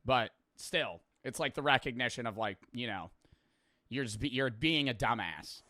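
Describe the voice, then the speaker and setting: A man's voice: medium pitch, animated, normal speed, bright, forceful tone, dry recording. A man doing casual, slangy, joking reaction commentary with plenty of swearing. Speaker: host reacting to a video.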